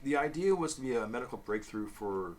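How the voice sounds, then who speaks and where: A man's voice: mid-range voice, lively intonation, brisk. A man co-hosting a casual review chat, explaining things in a loosely worded way with asides. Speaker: co-host of a comic review show.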